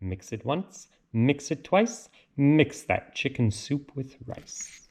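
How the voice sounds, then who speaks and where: A man reads rhyming picture-book verse aloud at an unhurried pace. His mid-pitched voice swings widely and theatrically, with a sing-song lilt made for children. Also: big pitch swings, darkish tone. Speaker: read-aloud storyteller.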